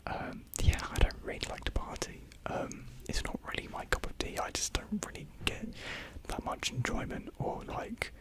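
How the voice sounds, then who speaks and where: A young adult whispering softly and breathily into a close microphone in a casual ASMR chat, answering viewer comments in a hesitant, rambling, self-deprecating way. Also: moderate pace, few pauses.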